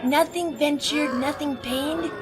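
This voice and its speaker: A woman's voice: sits high, fairly even intonation, takes it slowly. A woman playing a trusting, polite young boy in an audio drama. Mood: hopeful, pleading.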